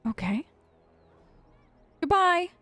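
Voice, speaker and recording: A woman hosting a casual role-play let's play, talking in a playful, theatrical, halting way as her character. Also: extremely high pitch, very animated, pushed and bright, dry recording. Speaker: gaming host, role-playing as her character.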